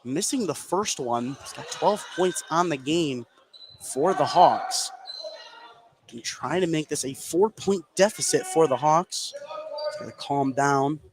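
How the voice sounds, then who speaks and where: A man doing live radio play-by-play commentary of a basketball game, excitable on big plays. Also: fairly high pitch, measured pace. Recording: clearly noisy live sports broadcast.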